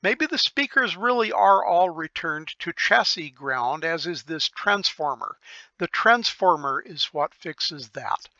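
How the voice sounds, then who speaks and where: A man with a fairly high, bright voice walks through technical material very slowly and methodically, pausing to think aloud. The sound is close and dry, though a little dull and lo-fi. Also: expressive, no room sound. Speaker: electronics repair hobbyist presenter. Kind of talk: informal technical explanation of a circuit diagram.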